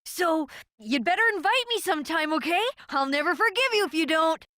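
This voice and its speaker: A woman voicing a spirited, stubborn young female fighter in a game, bold and energetic in how she talks. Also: very high-pitched, brisk, no echo, clean studio sound, full character voice. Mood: eager. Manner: bold, energetic, a little shy when asking.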